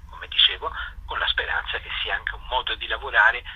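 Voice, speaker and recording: A man speaking as an association spokesman in an informal interview, explaining a project and pausing to search for words. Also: lowish voice, steady tone, slightly rough, lo-fi sound. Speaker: spokesman of a jazz festival association being interviewed.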